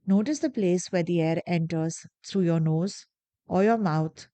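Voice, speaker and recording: Woman, meditation guide, mellow warm tone, dry close sound, slow gentle pacing, calm. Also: on the low side, no room sound. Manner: slow, soft, calm instructions with long pauses.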